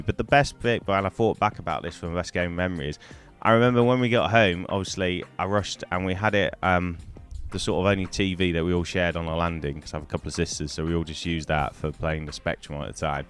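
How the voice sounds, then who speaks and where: A middle-aged man from Wales, hoarse from a cold, chatting to camera in a rambling way on a poor-quality microphone. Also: deep voice, a bit bright. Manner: rambling, chatty, many ums and restarts. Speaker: gaming YouTuber. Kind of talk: casual to-camera storytelling.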